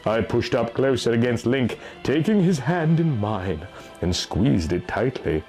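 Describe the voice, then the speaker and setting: A man's voice: medium pitch, big pitch swings, a little slow, darkish tone, faint music behind. A man reading a fantasy story aloud as dramatic, theatrical scripted narration. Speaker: audiobook reader.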